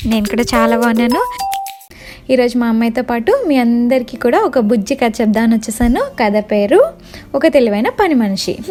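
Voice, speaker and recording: A woman telling a bedtime story to children in an animated way, addressing them directly and mixing in English words. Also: a little high. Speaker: storyteller and channel host. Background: faint music.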